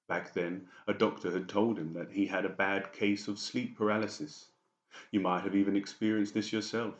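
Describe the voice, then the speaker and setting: A man with a very low voice narrates a dramatised story. The sound is roomy and a little muffled, as in a boxy home recording. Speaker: audiobook narrator.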